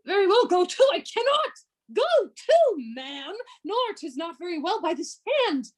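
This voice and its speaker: A woman playing an aggrieved, gullible gentleman suitor in a Shakespearean radio play, indignant and petulant. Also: very high-pitched, sing-song, measured pace, heavily acted.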